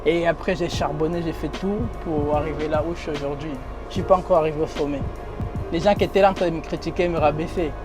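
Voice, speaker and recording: High-voiced young man with a foreign accent. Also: steady tone, fast talker, music in the background. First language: not French. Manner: slangy, streetwise, repeats phrases, laughs often. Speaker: interviewee. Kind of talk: casual interview talk. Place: in a limousine.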